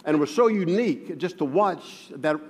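Stuttering preacher in a big hall. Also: male voice, a little high, animated, normal speed, a little mellow. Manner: stutters, many repeated words and fillers. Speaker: pastor on church staff. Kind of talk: sermon opening. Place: church sanctuary.

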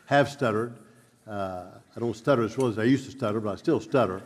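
A man's voice: lowish voice, brisk. A man who stutters, giving church announcements and leading a prayer with many repeats and fillers. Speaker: preacher. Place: church sanctuary. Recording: long hall reverb.

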